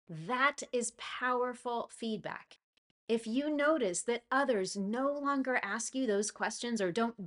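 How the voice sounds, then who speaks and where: A woman teaching English to learners, talking through a clear, encouraging lesson with a personal story. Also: on the high side, a bit bright. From United States. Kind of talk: scripted lesson monologue.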